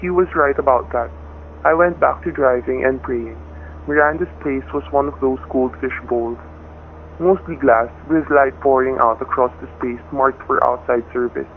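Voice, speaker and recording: A man's voice: medium pitch, moderate pace, close to an everyday voice. Man acting a worried everyman, tinny old radio. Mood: grim, tense. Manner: terse, hard-boiled, plain-spoken. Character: worried, cowed husband and father narrating his ordeal. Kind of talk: old-time radio drama narration and acting. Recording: tinny vintage radio recording, background noise.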